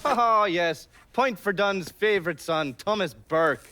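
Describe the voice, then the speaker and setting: A man's voice: high voice, animated, slow pace, sharp tone, exaggerated voice. An actor playing a streetwise, teasing young American man in a video game cutscene. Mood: amused storytelling.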